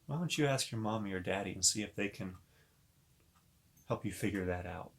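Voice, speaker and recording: Expressive man reading a children's story. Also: on the low side, a little fast. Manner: expressive, talks directly to children. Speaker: pastor and story reader. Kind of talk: read-aloud children's story with asides to young viewers.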